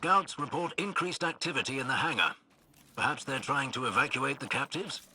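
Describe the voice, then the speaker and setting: An actor playing a genteel, composed military scout leader in a video game, with a polite, wry manner. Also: male voice, mid-range voice, a little fast, sharp tone, slight background noise.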